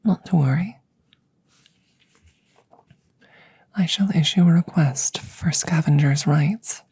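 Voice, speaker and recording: A woman's voice: sits low, normal speed, mellow, husky, dry recording. A woman voicing a curious, clinical alien scientist in an ASMR roleplay, whispering breathily and phrasing things formally and precisely, close to the microphone. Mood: calm, businesslike. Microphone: close microphone, ASMR style.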